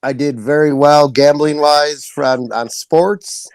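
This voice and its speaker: Hoarse man chatting excitedly on a podcast. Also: a little high, slow, dry recording. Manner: excitable, rambling, swears casually. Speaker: podcast co-host. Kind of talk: casual podcast chat.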